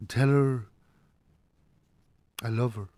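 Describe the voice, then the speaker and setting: An actor playing a gentle, wistful Irish father of a grown son in a naturalistic radio drama. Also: medium pitch, fairly even intonation, slow pace, no echo, close to an everyday voice. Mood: reflective, regretful.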